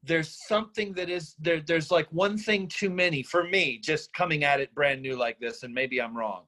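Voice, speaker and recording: Higher-voiced man giving measured script feedback. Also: bright, forceful tone. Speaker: readers theatre workshop participant.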